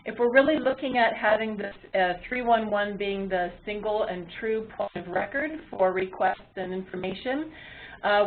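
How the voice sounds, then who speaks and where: A woman's voice comes through a narrow, phone-like line with a medium pitch. She presents a webinar in an explanatory way, pausing often on ums and uhs as she moderates. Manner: halting delivery with frequent ums and uhs.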